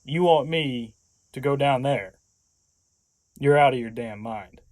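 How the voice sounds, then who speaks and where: Audio drama: male actor as a wary young wisecracker, medium pitch, very dry close sound. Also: slow, darkish tone, no room sound, close to an everyday voice. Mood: wary, sardonic. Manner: sardonic, wary. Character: skeptical, wisecracking younger man dragged reluctantly into danger.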